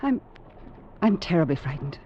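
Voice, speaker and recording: An actress in an old-time radio drama playing a polite, intense newlywed captain's wife with secrets. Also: female voice, sits low, big pitch swings, moderate pace, mellow. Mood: frightened, urgent. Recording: dull, noisy old radio recording.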